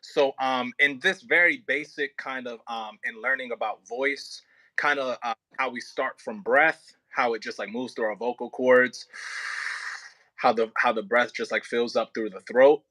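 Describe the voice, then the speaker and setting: A man leading a voice warm-up as a coach, explaining casually and haltingly with plenty of filler words. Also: mid-range voice, steady tone, poor audio. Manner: informal, halting explanations with many "like" and "kinda" hedges. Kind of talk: casual hands-on coaching over a video call.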